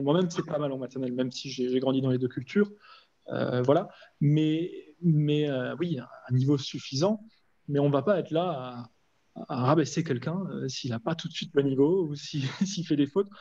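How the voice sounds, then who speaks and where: A man's voice: on the high side, dark tone, muffled recording. A man on an online panel, talking hesitantly with many fillers and restarts. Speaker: panelist, cross-border employer. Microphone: video call.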